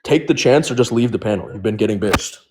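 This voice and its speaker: A man's voice: fairly low pitch, animated, quick pace, a little mellow. A man in a heated online debate, aggressive and insulting, pushing his opponent for a yes or no.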